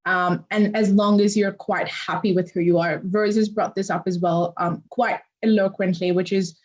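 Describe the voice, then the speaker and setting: A woman's voice: medium pitch, steady tone, moderate pace. Woman moderator on a dull, lo-fi recording. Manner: encouraging, summarizing, with many ums. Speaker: panel moderator. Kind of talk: moderating a panel discussion.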